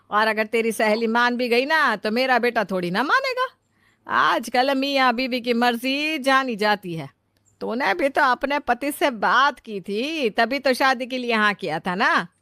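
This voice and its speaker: A woman's voice: on the high side, bright, forceful tone, dry recording, clean studio sound. A woman voicing a scolding, worldly-wise mother-in-law who lectures in a cartoon moral story. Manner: chiding, lecturing. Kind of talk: acted Hindi moral-story cartoon dialogue.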